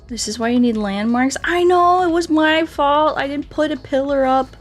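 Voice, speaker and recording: A woman's voice: high-pitched, normal speed. Playful woman streaming over game music. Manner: playful, self-deprecating, expressive. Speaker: livestreamer playing a game and chatting with viewers. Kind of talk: casual gameplay chat, reading out viewer comments. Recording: music playing behind the voice.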